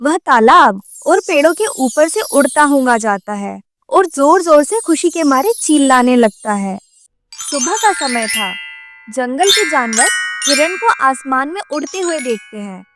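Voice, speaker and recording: A woman's voice: high voice, sing-song, a little slow, music in the background. A woman narrating a scripted children's moral story as a storyteller.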